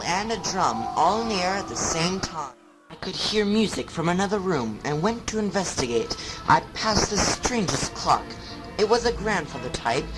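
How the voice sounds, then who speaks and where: A storyteller narrating a scripted, spooky first-person tale in a measured storybook manner. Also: medium pitch, low-quality recording. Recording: loud music under the voice. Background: loud music.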